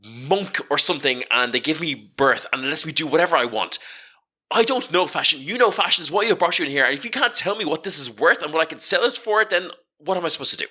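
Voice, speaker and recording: A man's voice: a little high, sharp tone, exaggerated voice. A man voicing an exasperated, street-smart female hustler who haggles and stammers through improvised tabletop role-play.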